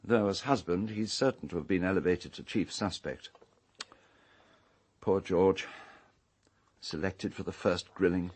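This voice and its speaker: Husky, haughty English gentleman. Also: deep voice. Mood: cool, pointed. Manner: pompous, fastidious, measured formal speech. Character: urbane, haughty English island castle owner, coolly sardonic. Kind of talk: radio drama acting.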